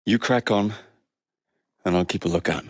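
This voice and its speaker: An actor with an English accent playing a cocky, sardonic young Victorian London gang-leader assassin in a video game. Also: male voice, low voice, moderate pace, no room sound, studio quality, lightly acted. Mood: confident. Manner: sardonic, flippant, cheeky. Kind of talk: video game character voice lines.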